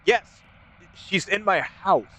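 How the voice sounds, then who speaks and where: A man playing a frightened homeowner phoning the police, speaking hesitantly and haltingly in an acted emergency call. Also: high-pitched, lively intonation, pushed and bright, heavily acted. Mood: fearful, uneasy.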